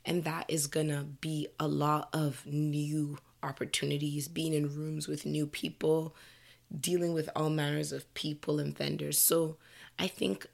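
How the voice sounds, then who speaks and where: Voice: medium pitch, level tone, unhurried, creaky. A podcast host with a froggy voice from a slight cold, giving a candid, earnest motivational monologue to listeners.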